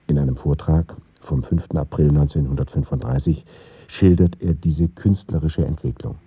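Very deep, husky male narrator. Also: normal speed, no echo, muffled recording. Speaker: documentary narrator. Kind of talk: scripted radio documentary narration.

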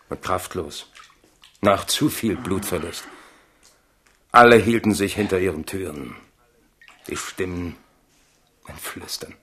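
Wordy, husky royal manservant. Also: male voice, deep voice, fairly bright tone, heavily acted. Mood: hushed, grave. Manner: wordy, deferential, storytelling. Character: loyal, talkative manservant of a young king, nobleman. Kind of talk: German radio play acting.

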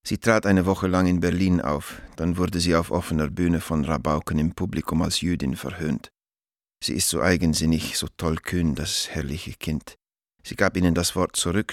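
Male actor as anguished letter writer, deep low voice, grave, formal, urgent pleading, radio play, dry studio sound. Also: dry recording, natural voice. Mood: desperate, dread.

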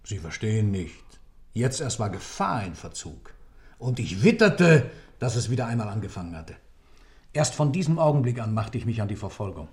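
An ominous, obsessive man in an old radio drama. His low voice swings widely as he justifies himself with insistent, declamatory weight. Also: sing-song, moderate pace, darkish tone. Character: male defendant, obsessive, ominous, self-justifying pursuer. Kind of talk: classic German radio play acting.

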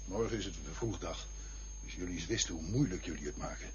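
Guy doing a strict lecturing dad, very deep voice, muffled old tape with hiss. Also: quick pace, a little mellow, close to an everyday voice. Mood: stern. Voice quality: stern, lecturing. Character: strict wartime father scolding his son. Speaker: actor in a radio play. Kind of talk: radio drama acting. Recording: dull lo-fi recording with faint hiss.

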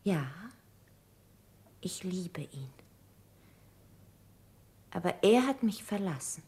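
An actress in a German radio play playing a sorrowful, composed nurse with a hushed, restrained delivery. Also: female voice, on the low side, big pitch swings, slow, full character voice. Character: sorrowful, composed female nurse, quietly resolute, married.

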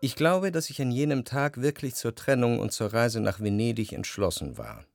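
A man playing a reflective, jealous lover who narrates his memories in measured literary prose for a radio drama. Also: mid-range voice, expressive, vocal fry, music in the background. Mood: brooding reflection.

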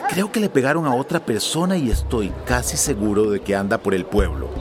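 A man's voice: mid-range voice, lively intonation, brisk, lightly acted. An actor playing a scheming, irritated man in a radio drama, scornful and commanding. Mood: irritated, conspiratorial. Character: scheming, irritated man covering up his crime. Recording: music behind the voice.

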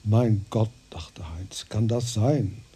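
A grave male storyteller narrates a crime radio play. His voice is very dark and husky, and he takes his time over each phrase. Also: fairly low pitch, slow pace, heavily acted. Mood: musing.

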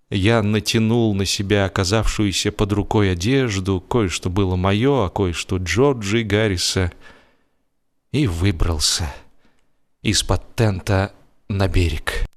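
A man narrating a literary story in an expressive, dramatic radio style, telling it in the first person with deliberate pauses. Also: low voice. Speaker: audiobook narrator, actor. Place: radio studio. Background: music.

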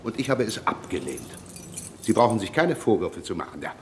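A cheeky, smooth-talking uninvited visitor. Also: male voice, fairly low pitch, very animated, brisk, a little hiss or hum. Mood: cheeky, self-assured. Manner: chatty, ironically courteous, teasing. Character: smooth, talkative, cheeky male visitor, self-assured and slyly polite. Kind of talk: German radio crime comedy acting.

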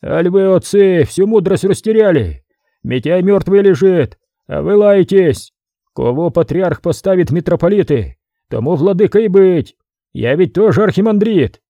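A man's voice: sits high, expressive, moderate pace, dark tone, dry recording, voice pushed up. A man doing a stout monk scolding brawlers. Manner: scolding, pleading. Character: stout male archimandrite trying to make peace.

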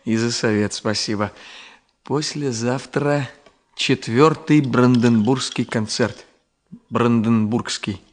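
A man's voice: mid-range voice, steady tone, slow pace. An actor playing a shy, grateful, embarrassed man in a Soviet radio play, speaking hesitantly and politely. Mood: awkward gratitude. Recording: 1970 radio play, long reverb.

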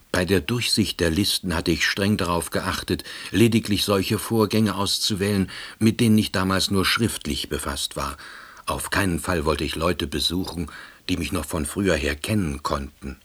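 An actor playing a hapless, pedantic husband and novice salesman who narrates his own story in a radio play, deadpan and precise. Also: low-pitched, husky. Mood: wry, deadpan.

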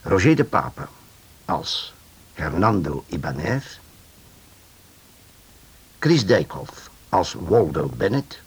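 Male announcer for vintage radio drama credits, deep-leaning husky voice, very expressive intonation. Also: takes it slowly, long pauses. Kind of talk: 1950s radio closing credits narration.

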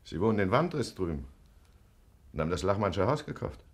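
Officious cynical gentleman, radio drama, low voice, clipped but very lively intonation. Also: brisk, slightly rough, full character voice. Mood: brisk, official. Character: brusque, cynical country gentleman and registrar. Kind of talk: German radio drama acting.

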